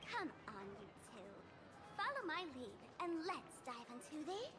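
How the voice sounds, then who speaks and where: A woman's voice: sits high, big pitch swings, unhurried, noisy background. A woman playing a playful, confident young female guide in an anime game, teasing as she explains. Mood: upbeat.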